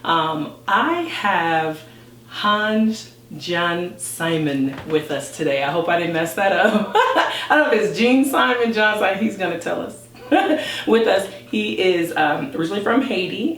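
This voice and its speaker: An American woman hosting a podcast interview in a chatty, informal and excited way. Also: lowish voice, normal speed. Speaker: podcast host. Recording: roomy, distant mic with background noise.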